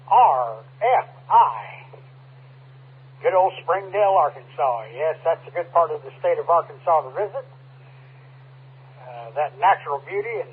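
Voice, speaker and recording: High, husky man on tinny radio. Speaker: amateur radio net controller. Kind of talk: running a radio check-in net. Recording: tinny narrow-band ham radio transmission.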